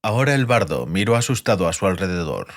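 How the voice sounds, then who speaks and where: A man's voice: low-pitched, big pitch swings, fast. A man narrating a fantasy audiobook as a scripted storyteller.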